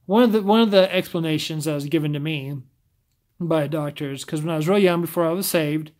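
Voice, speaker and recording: A man's voice: a little high, a little fast, a little mellow. A man with a scratchy voice talking casually to camera, often stumbling and restarting his sentences. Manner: stumbles over words, rambling restarts.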